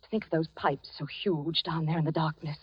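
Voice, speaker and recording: A woman's voice: low voice, steady tone, fairly quick, close to an everyday voice. An actress playing an anxious young woman in 1940s radio drama style, tremulous and pleading. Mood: uneasy. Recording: dull, noisy old radio recording.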